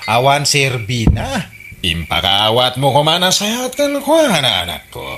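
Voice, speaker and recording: A man playing a patient older father with an Ilocano accent, giving slow, admonishing counsel in a radio comedy drama. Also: medium pitch, wildly swooping pitch, pushed and bright, full character voice. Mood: advising, weary.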